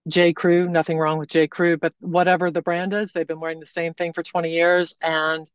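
A woman wardrobe consultant giving thoughtful, measured answers as a podcast guest. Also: lowish voice, level tone, clear, resonant tone, no room sound, muffled recording. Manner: thoughtful, measured explanations with pauses.

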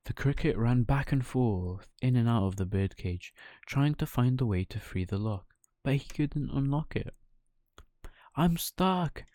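A man's voice: medium pitch, normal speed, mellow, no room sound. A man narrating a children's bedtime story as a storyteller.

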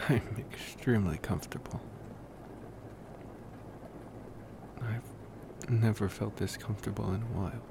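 A man playing a reflective, gentle young college vampire in an ASMR roleplay, musing softly and intimately to the listener. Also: on the low side, vocal fry. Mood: relaxed, peaceful. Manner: musing, rambling, with pauses and sighs. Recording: noticeable steady background noise.

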